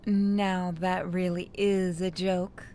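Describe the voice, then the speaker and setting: A woman voicing a calm, possessive female kidnapper in a horror game, dry and quietly threatening. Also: on the low side, takes it slowly, over music. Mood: dry, skeptical.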